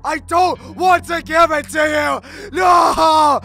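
An edgy, brooding hedgehog in a cartoon dub, melodramatic and exasperated. The voice is pitched very high, forceful and strained, with a slow, stammering delivery over faint music. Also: male voice, fairly even intonation, gravelly, exaggerated voice. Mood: desperate shouting. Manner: melodramatic, repetitive yelling and stammering. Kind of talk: cartoon comic dub acting.

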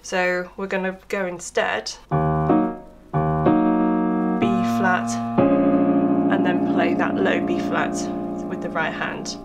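Hoarse woman teaching piano. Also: on the low side, little pitch movement, takes it slowly, long pauses. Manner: chatty, explains step by step with filler words. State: hoarse from being under the weather. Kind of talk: casual tutorial explanation. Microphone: camera microphone with crackle. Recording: crackling camera mic with piano playing. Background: piano playing.

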